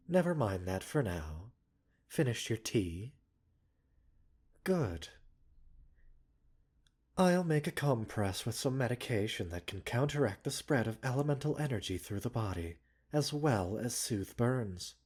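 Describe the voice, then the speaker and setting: Male actor as composed pharmacist doctor, soft breathy tone, slow pace, gently chiding, soothing, formal, ASMR roleplay. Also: lowish voice, lively intonation. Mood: mildly teasing. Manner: soothing, unhurried, politely formal with teasing asides. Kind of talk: ASMR roleplay audio drama.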